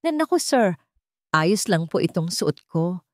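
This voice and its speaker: A woman's voice: on the low side, big pitch swings, measured pace, dry recording, studio quality. A woman voicing a shy, timid young maid who speaks hesitantly and deferentially.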